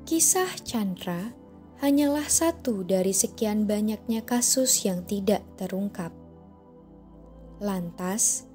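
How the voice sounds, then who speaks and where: A woman narrates a documentary report in a mellow, soft-edged voice. Faint music runs underneath. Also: medium pitch. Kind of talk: scripted narration.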